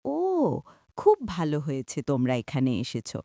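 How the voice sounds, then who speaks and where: A woman's voice: low-pitched, huge pitch swings, measured pace, mellow, dry recording, clean studio sound, full character voice. A woman voicing a welcoming, curious village aunt in a cartoon.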